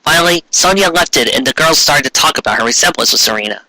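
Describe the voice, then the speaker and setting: A man narrates a story in a comic, dramatic style. His voice has a bright edge and animated intonation. The recording is close and dry. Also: on the high side, fast, no echo, poor audio. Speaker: fan fiction reader.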